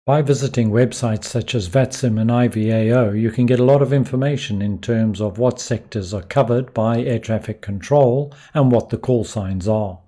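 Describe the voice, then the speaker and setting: Male tutorial presenter, mid-pitched and slightly rough-edged, explaining step by step in voice-over. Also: normal speed, few pauses, warm tone. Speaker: flight simulation tutorial host. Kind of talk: instructional voice-over narration.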